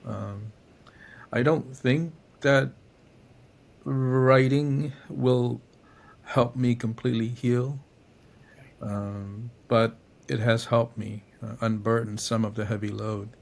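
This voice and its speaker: A middle-aged man from Cambodia, a writer answering interview questions in a reflective, halting way with many fillers and restarts. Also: medium pitch, fairly even intonation, creaky. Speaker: poet and novelist guest.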